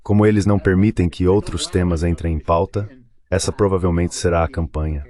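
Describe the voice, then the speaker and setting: A man speaks in a low voice with a Brazilian accent. He delivers a dubbed translation of an interview as a voice-over. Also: steady tone, brisk, mellow.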